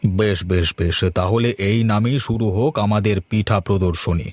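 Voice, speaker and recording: A man's voice: lowish voice, normal speed, a little mellow, no room sound, lightly acted. A man voicing a genial village grandfather in a family cartoon, speaking in a hearty, folksy way. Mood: delighted. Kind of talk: Bengali cartoon family story dubbing. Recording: music behind the voice.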